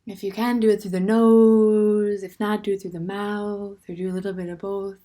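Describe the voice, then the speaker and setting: A woman leading a guided standing meditation in a gentle, unhurried way, with soft, breathy phrase endings and plenty of pauses. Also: medium pitch, level tone. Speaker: meditation teacher.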